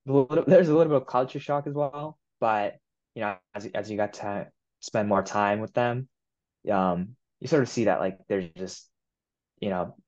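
A young man from Japan who speaks English as a second language, with a fairly low voice. He answers interview questions in an easygoing way, filling his pauses with "um" and "you know". The recording sounds muffled and lo-fi. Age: 20s. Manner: relaxed, many fillers like "you know" and "um". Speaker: guest. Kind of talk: casual interview.

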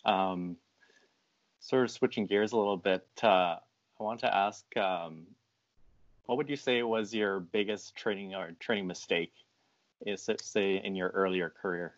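A man asking casual interview questions in a hesitant, halting way. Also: sits low, dry recording. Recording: dry but dull, lo-fi audio.

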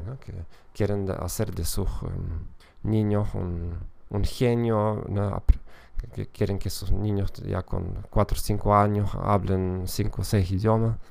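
Gravelly-voiced man. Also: fairly low pitch, darkish tone. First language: German. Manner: hesitant, many fillers and self-corrections, tag questions. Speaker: podcast host and language teacher. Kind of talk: casual solo podcast talk.

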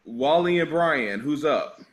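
A man's voice: a little high, animated, slow, a bit bright. A man hosting a podcast panel, chatting casually, teasing and asking each guest questions. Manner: laughing, teasing, asks questions. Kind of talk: casual group chat.

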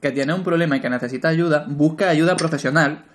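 A man's voice: fairly high pitch, fast talker. Laid-back young man chatting, mouth full. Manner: laid-back, jokey, eating cereal while talking. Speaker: podcast co-host. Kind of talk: casual podcast chat.

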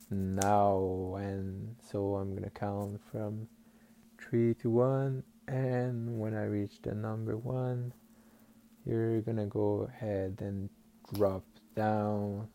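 A man guiding a listener into hypnotic trance in a slow, soothing, suggestive way, over faint music. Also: lowish voice, creaky, mellow. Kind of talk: guided hypnosis session.

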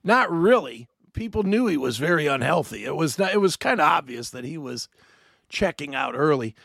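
A man in his fifties hosting a casual podcast chat, rambling and animated with many restarts. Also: a little high, normal speed, creaky, no echo, studio quality. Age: 50s. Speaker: host.

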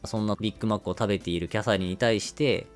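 A man's voice: on the low side, racing, faint music behind. A man hosting a podcast, explaining and narrating in a polite, casual way. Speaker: podcast host.

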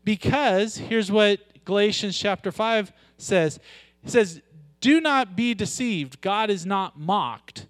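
A man with a loud, high-pitched voice preaches a sermon at a deliberate, unhurried pace. He mixes joking asides with slow, stressed lines of scripture. The sound is dry and close, with no room echo. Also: lively intonation. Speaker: pastor.

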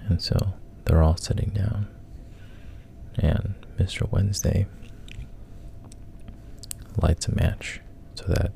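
A man casually retelling a story in a hushed, halting way, often dropping to a whisper, with a gravelly, breathy voice. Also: low voice, steady tone, a little hiss or hum.

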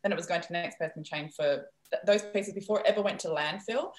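A young woman from the Netherlands, a native Dutch speaker, talking as a guest in a podcast interview, animated and filler-heavy. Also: lowish voice, reverberant. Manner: animated, quick, frequent fillers and laughs.

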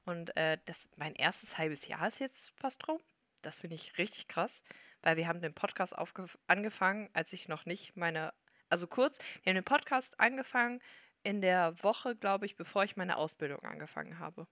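A woman's voice: fairly low pitch, animated, fairly bright tone, no echo. Chatty young woman, dry close mic. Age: young adult. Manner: chatty, quick, laughs often. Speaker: podcast co-host. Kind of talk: casual chat.

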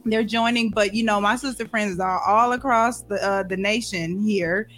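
A woman hosts a podcast, leading a relaxed group chat in a middle-pitched voice. She talks in an easygoing, upbeat way, using plenty of fillers. Faint music plays underneath. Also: moderate pace. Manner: chatty, upbeat, with frequent fillers. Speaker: podcast host.

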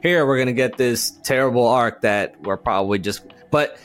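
A man's voice: medium pitch, normal speed, soft background music. A man co-hosting a podcast, chatting informally about things he follows as a fan and acting out little quoted asides. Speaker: podcast host. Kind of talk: casual fan chat.

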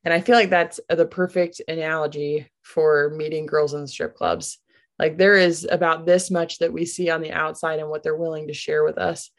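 Woman from Michigan, late twenties, slightly low voice, earnest, pauses often. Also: steady tone. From Grand Rapids, USA. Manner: earnest, laughs lightly, many "um" pauses. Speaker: podcast guest, business founder. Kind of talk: casual interview.

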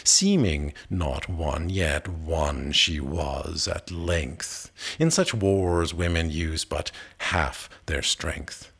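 Male poetry reader, low register, slow measured delivery, literary and dramatic. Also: gravelly, fairly bright tone, slightly rough. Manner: measured, dramatic phrasing with pauses. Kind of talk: expressive literary recitation of narrative verse.

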